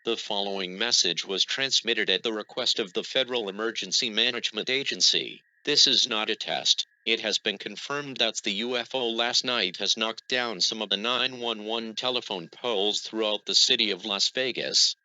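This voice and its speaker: A synthetic male announcer reads an emergency alert formally. His tone is very bright and hard-edged, slightly low, and recorded completely dry. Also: moderate pace, hardly any pauses, dry recording, rough recording.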